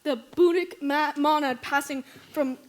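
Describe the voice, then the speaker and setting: A young woman on a school stage playing an eager mystic who explains lore haltingly. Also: high voice. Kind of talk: school stage play acting.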